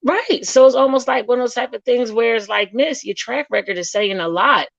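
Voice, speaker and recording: A woman's voice: a little high, a little fast, gravelly, no room sound. A woman panelist giving blunt, colloquial opinions in a casual discussion, on dull audio.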